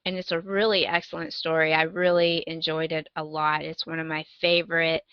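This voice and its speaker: A woman's voice: lowish voice, clean tone, no room sound, dull audio. A woman co-hosting a podcast, chatting casually in a conversational, wry and emphatic way as she gives recommendations. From United States. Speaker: podcast co-host.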